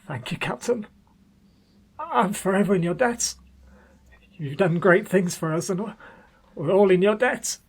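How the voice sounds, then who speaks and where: A man playing an exhausted, frostbitten sailor in a radio play, loyal but stubborn, with a strained, pleading, husky voice. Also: high voice, unhurried, pauses often, full character voice. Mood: grateful, weak.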